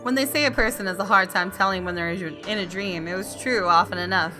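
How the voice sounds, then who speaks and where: A woman's voice: medium pitch, brisk, over music. A woman gaming YouTuber reading visual novel narration aloud expressively, with casual asides.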